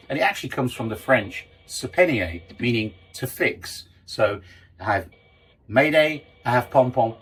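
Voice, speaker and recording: A man's voice: medium pitch, slightly rough, rough recording. A middle-aged man giving an informal, explanatory lesson as a sailing instructor, conversational and stressing key terms.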